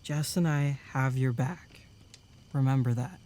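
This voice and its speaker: An actor plays an earnest young male camp counselor in an audio drama. He talks slowly in a mid-range voice, protective and a little hesitant, over faint background ambience. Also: mid-range voice, mellow, a little hiss or hum, natural voice.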